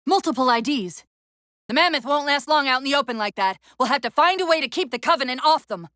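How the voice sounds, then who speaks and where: Female military ai sidekick in a video game, highish animated voice, brisk n wry, crisp tactical orders, dry studio sound. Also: no room sound. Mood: brisk, focused. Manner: crisp commands and tactical briefings.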